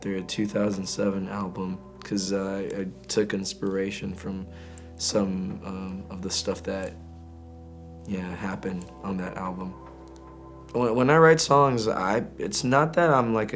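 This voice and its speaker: Low-voiced casual man over guitar music. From Greece. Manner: informal, halting, slangy delivery. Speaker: indie singer-songwriter being interviewed. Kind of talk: casual, candid interview talk.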